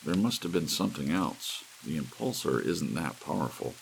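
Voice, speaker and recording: A man performs an elderly, brainy scientist in a sci-fi audiobook. He lectures briskly and emphatically in a low, creaky voice with animated pitch, over a faint hiss of rain. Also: exaggerated voice, rough recording. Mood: probing. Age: 60s. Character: brisk, brainy elderly male scientist, intent and self-assured.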